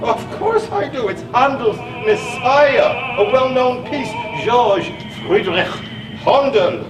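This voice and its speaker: A man playing a chatty, eager psychiatric patient in a stage play, pedantic and rambling, excitable and prone to repeating himself. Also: very high voice, slow, full character voice. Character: chatty, eager know-it-all male psychiatric patient named Ethan. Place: theatre stage, recorded from a distance. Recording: echoey stage recording, distant mic, music.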